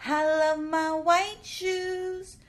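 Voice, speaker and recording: A woman's voice: extremely high pitch, flat delivery, extremely slow, voice pushed up, full character voice. A woman voicing a cheerful, easygoing male cat who sings simple repetitive lines in a children's storybook. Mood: happy singing. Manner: singing, repetitive and unbothered.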